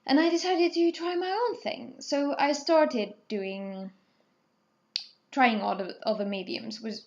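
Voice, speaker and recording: A young woman in her twenties talks slowly and casually, her English clearly a second language. She wanders from point to point, correcting herself and filling pauses with ums. The recording sounds a little dull and lo-fi. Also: a little high. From Portugal, raised in Spain. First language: Spanish or Portuguese. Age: 20s. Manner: rambling, self-correcting, hesitant with ums. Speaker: artist, channel host. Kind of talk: casual talkthrough over painting.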